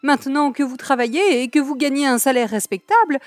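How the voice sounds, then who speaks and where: A woman's voice: high-pitched, expressive, fairly quick, no room sound. A woman playing a prim, pushy older charity lady with affected, flowery manners in a comic old-time radio play. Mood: sweetly pressing. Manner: affected, flowery, genteel speech.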